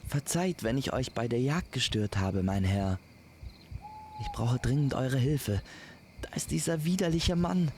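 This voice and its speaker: A man's voice: medium pitch, animated, measured pace, a little mellow, slight background noise, pitched above the performer's own voice. A man voicing a polite, eager, lovestruck young noblewoman with courtly speech in a fairy-tale audio story. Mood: pleading.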